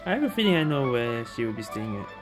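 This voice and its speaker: A woman's voice: extremely low pitch, big pitch swings, fast talker, loud music behind the voice. A woman giving casual commentary as she plays a video game.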